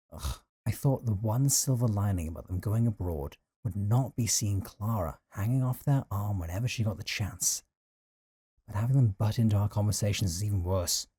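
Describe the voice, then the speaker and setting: A male actor plays a possessive young man in an audio drama, with a deep, mellow voice. He talks casually and intimately, as if to a close friend, with a jealous, lovesick streak under the teasing. The sound is close and dry. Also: husky, no room sound. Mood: jealous, bitter. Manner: casual, teasing, sighing, conversational. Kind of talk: intimate audio roleplay drama, one side of a call.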